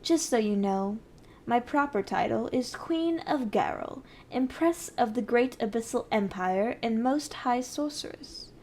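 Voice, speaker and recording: A woman's voice: a little high, lively intonation, a little slow, a little mellow. A woman playing a haughty, ruthless evil sorceress queen in an ASMR roleplay, speaking in an imperious, menacing, condescending way. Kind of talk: scripted ASMR roleplay addressed to the listener.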